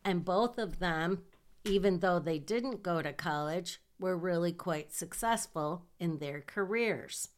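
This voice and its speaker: Slow-talking nasal woman. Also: fairly low pitch. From southern Michigan. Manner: slow, chatty, self-deprecating with small laughs. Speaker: podcast host. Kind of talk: casual personal monologue.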